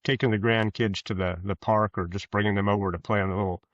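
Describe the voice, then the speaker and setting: A man's voice: lowish voice, steady tone. An American man in his sixties answering casually as an interview guest, in a hesitant, folksy way. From rural Kansas, USA. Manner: hesitant, halting, folksy phrasing. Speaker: guest, author and former police officer. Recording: roomy, dull lo-fi sound.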